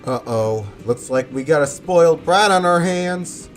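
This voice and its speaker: A man's voice: on the high side, lively intonation, measured pace. A man giving casual, theatrical gameplay commentary, full of mock-dramatic exclamations. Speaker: gamer, video creator. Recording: voice under loud game music.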